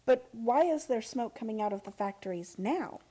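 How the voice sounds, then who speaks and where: An actress playing an earnest, disbelieving young heiress in a radio play, halting and insistent in her questions. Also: fairly high pitch, lively intonation, mellow. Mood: anxious questioning.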